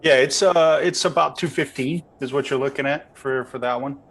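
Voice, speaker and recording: A man's voice: mid-range voice, normal speed. A man casually explaining equipment as a lighting company representative, speaking indoors on an online call. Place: indoors beside a window.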